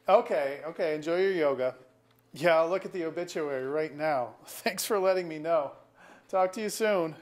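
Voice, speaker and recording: A bitter middle-aged man played by an actor in a stage play reading. He talks in wry, wordy, sarcastic turns, like a small-town lawyer nursing a grudge, in naturalistic dialogue. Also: high voice. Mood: sardonic. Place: auditorium.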